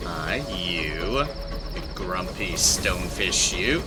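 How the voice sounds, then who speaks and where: A slightly hoarse man streaming a video game, chatting casually and playfully to himself as he plays. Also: deep voice, animated, slow pace, loud music behind the voice. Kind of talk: casual live gameplay commentary.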